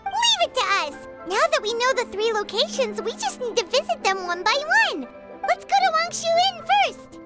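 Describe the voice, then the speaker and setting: A woman voicing a tiny, excitable fairy companion in a video game, squeaky and childlike, perky in manner. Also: moderate pace. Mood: upbeat. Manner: perky, refers to herself in third person. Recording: loud music under the voice.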